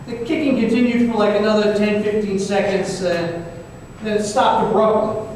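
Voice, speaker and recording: Sardonic, paranoid private eye played by a man, high-pitched, wry and halting, old-time radio drama, distant in a reverberant hall. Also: fairly even intonation, close to an everyday voice. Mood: wry, recounting. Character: world-weary, sardonic, paranoid male private investigator, narrating his case. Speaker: narrator and lead detective. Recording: very echoey hall, distant mic, faint noise.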